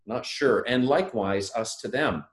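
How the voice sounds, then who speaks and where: A man, a church leader, speaking in an earnest, measured way. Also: medium pitch, roomy sound. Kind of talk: panel talk.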